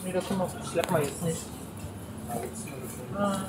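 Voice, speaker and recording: A woman's voice: lowish voice, slow. A woman chatting casually with a companion while out shopping. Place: inside a supermarket. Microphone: distant ambient recorder. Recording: far from mic, echoey, loud supermarket clatter. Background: loud store noise, clinking, rattling.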